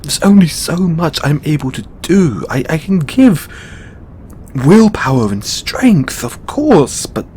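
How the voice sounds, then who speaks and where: A man playing a weary, burdened god in an intimate audio roleplay, speaking haltingly with sighs and long pauses. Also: a little high, big pitch swings, a little mellow, a little hiss or hum. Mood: burdened, resigned. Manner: halting, sighing, with drawn-out pauses. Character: weary, burdened male god confiding in his lover. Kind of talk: audio roleplay monologue, intimate dramatic acting.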